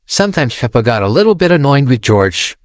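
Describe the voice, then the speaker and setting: A man narrating a children's storybook aloud in an expressive, sing-song way, close to the mic. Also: mid-range voice, brisk, no echo.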